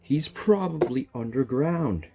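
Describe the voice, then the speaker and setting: A man's voice: mid-range voice, expressive, takes it slowly, warm tone. A man giving casual, joking reaction commentary as he plays a game, with slangy exclamations. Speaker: gaming streamer.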